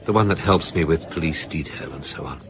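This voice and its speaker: An actor playing a sly, flirtatious, worldly male author with a gravelly voice and a teasing, offhand manner in a radio drama. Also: very deep voice, a little fast, music in the background. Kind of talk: naturalistic radio drama acting.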